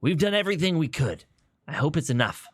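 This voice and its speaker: A man's voice: on the high side, very animated, quick pace. A man reading as an earnest young defense lawyer. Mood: determined.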